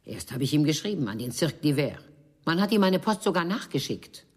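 An actress playing a haughty, disdainful middle-aged Parisian madame in a radio crime drama, with a husky, breathy voice and theatrical delivery. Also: female voice, low voice, sing-song, a little fast, full character voice. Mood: matter-of-fact. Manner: haughty, theatrical, swooping between indignation and hushed tones. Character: haughty mature Parisian bourgeois madame, disdainful, betrayed wife.

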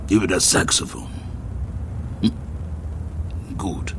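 Gravelly, deep old jazzman. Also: takes it slowly, noisy background. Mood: brooding, ominous. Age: older. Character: run-down, toothless old male jazz musician, gruff and suspicious. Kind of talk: radio drama acting.

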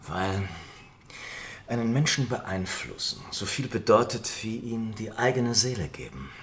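An actor playing a suave, cynical aristocratic tempter in a German radio play, speaking in a languid, measured way. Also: male voice, fairly low pitch, expressive, a bit bright, slight background noise. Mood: persuasive, philosophical. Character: suave, cynical English lord, seductive tempter.

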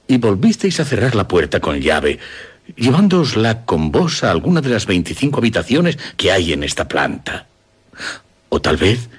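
An older Castilian gentleman, a father and master of a castle in a radio play. His voice is very low and husky, with lively swoops. He explains things formally and deliberately, always courteous and reassuring. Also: exaggerated voice. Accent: Castilian Spanish. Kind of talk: radio drama acting.

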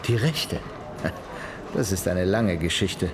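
An actor playing a talkative, cynical musical producer in a radio crime drama, telling things expansively. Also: male voice, low voice, lively intonation, takes it slowly, noisy background. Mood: businesslike.

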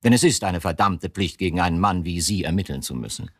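A male police detective in a radio drama, deep and lively in pitch. He is exasperated and dutiful, pressing his point with pedantic insistence. The sound is close and dry. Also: fast talker, husky, no room sound. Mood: irritated, defensive. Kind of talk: German radio drama acting.

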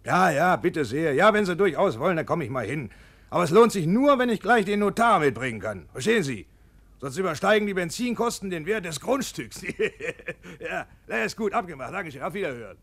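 An actor playing a grasping, grudging property dealer on the telephone in a 1950s German radio play, fussy, dismissive and repetitive. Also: male voice, high voice, very animated, a little fast, fairly bright tone, breathy edge, heavily acted. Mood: slyly reluctant.

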